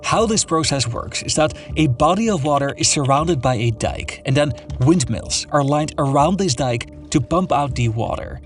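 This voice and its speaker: A man reading scripted explainer narration in an even, informative way. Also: a little high, normal speed, hardly any pauses. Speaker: documentary narrator. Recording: narration over faint background music.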